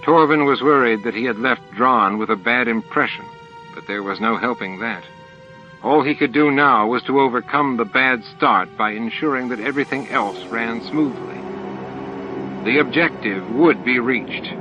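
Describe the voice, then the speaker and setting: A man narrates a dramatic audiobook from a script. His pitch sits in the middle range. The recording is dull, like lo-fi tape, with music. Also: normal speed.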